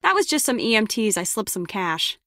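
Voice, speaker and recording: A woman's voice: medium pitch, sing-song, normal speed, a bit bright, no room sound, clean studio sound. An actress playing a brusque, sarcastic lone-wolf female spy in a video game, with a curt, biting delivery. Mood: dry, matter-of-fact. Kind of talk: scripted game character dialogue.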